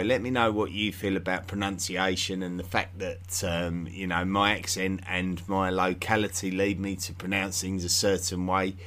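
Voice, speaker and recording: A man's voice: lowish voice, a bit bright. A man from England with an English accent, hosting a history podcast in an unscripted, rambling monologue full of fillers and restarts.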